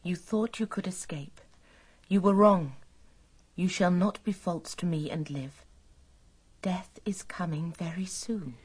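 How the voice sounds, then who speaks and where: A sympathetic nurse in a radio drama, with a dark, breathy woman's voice. She is attentive and hushed, questioning in a soft, measured way. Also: on the low side. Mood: grave, hushed reading.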